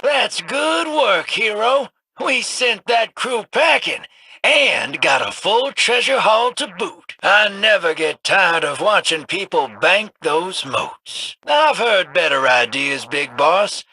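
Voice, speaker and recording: Piercing, raspy rogue. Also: male voice, high-pitched, expressive, slow pace, pushed and bright, no echo, exaggerated voice. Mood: sly, pleased. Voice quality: gravelly, raspy. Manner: streetwise, teasing, slangy. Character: cocky, sly roguish pirate-hunting male spotter. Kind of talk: video game mission voice lines.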